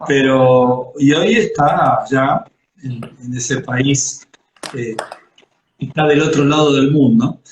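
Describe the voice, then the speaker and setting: A man with an Argentine accent co-hosting a casual interview, friendly and halting. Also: medium pitch. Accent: Argentine Spanish. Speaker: co-host. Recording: echoey room with faint noise.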